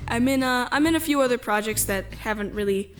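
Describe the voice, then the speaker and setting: A woman's voice: fairly high pitch, brisk. A young woman talking casually as an interview guest, giggly and self-deprecating. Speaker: guest, amateur voice actor. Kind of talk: casual chat.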